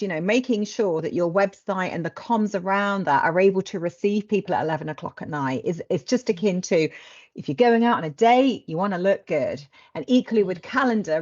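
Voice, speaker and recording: A woman's voice: mid-range voice, a little mellow. A middle-aged woman hosting a conversational discussion over a video call from an office. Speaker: host. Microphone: video call microphone. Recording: dull lo-fi call audio.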